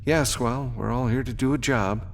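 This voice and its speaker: A man's voice: mid-range voice, moderate pace, vocal fry, slight background noise, voice pushed up, lightly acted. A man voicing a confident, tough woman fighter in an audiobook, dry and self-assured. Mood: dry, polite. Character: tough, confident, wry female armored bounty fighter. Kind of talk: audiobook character voice.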